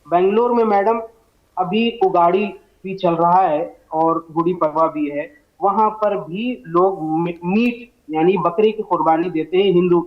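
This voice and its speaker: A man's voice: high voice, unhurried. A man arguing methodically as a TV debate panelist, setting out his case point by point, on slightly dull audio. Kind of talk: argumentative TV debate.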